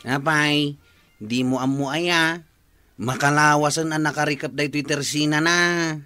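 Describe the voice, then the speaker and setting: Casting: nagging village husband, sharp forceful voice, Ilocano accent, dry radio comedy recording. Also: male voice, fairly high pitch, fairly even intonation, unhurried, dry recording, soft background music. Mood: matter-of-fact.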